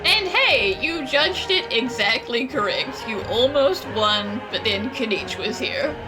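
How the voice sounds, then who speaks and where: A woman's voice: fairly high pitch, lively intonation, slow, over music, rough recording. A woman doing casual, jokey reaction commentary as she plays a game, often voicing mock quotes. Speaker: game streamer.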